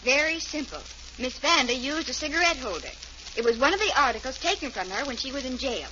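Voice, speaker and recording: Detective's secretary, high-pitched, deferential, eager to please, 1940s-style radio acting, muffled noisy old recording. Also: female voice, sing-song, brisk. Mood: dutiful. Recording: muffled, hissy vintage radio broadcast recording.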